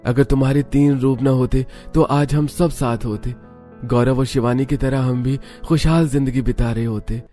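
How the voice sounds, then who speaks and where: A man's voice: medium pitch, fairly even intonation, moderate pace, dark tone, soft background music, pitched above the performer's own voice, close to an everyday voice. A man voicing a heartbroken young husband pining for his wife, pleading and sorrowful in cartoon melodrama. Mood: anguished, pining. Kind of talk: melodramatic cartoon story dialogue.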